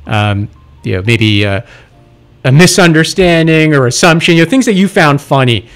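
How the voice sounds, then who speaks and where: A man's voice: a little high, expressive, normal speed, faint music behind. Chatty male radio host. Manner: chatty, teasing questions. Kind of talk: radio interview. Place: radio studio.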